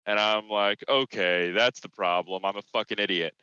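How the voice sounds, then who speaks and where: A man's voice: lowish voice, fairly even intonation, moderate pace, sharp tone, clear, resonant tone, dry recording. A young man co-hosting a podcast over a voice call, telling rambling stories with lots of swearing and restarts. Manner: rambling storyteller, swears freely, restarts often. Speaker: podcast co-host. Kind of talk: casual chat. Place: at work. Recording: lo-fi call audio.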